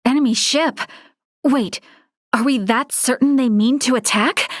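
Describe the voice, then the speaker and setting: A woman voicing an earnest, dutiful young female starship first officer in a video game, with formal, clearly articulated military speech. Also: sits high, unhurried, dry recording, clean studio sound. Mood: alarmed, urgent. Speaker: game character voice actor.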